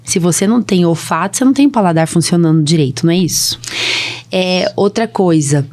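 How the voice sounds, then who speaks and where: A woman's voice: lowish voice, fairly quick, a little mellow. A woman with a Brazilian accent, a doctor hosting a casual podcast interview, who often checks in with tag questions. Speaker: podcast host, allergist doctor.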